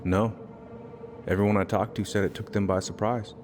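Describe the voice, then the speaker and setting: An actor playing a gruff, protective middle-aged police chief and uncle in a video game, speaking in a measured, fatherly way. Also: lowish voice, little pitch movement, darkish tone, slight background noise, close to an everyday voice. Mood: guarded, somber. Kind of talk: naturalistic video game acting. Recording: music behind the voice.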